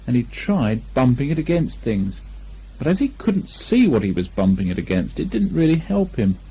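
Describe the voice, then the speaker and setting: Male children's story narrator: mellow, slightly husky, expressive, very varied intonation. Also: mid-range voice, moderate pace, slight background noise. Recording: lo-fi 1980 amateur recording.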